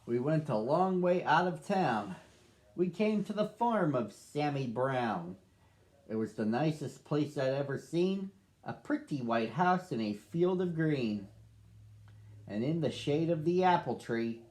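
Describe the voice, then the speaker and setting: A man's voice: fairly high pitch, animated, slow pace, a little mellow. A man narrating as a sad, lonely young male dog in a children's storybook, reading earnestly. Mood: wistful.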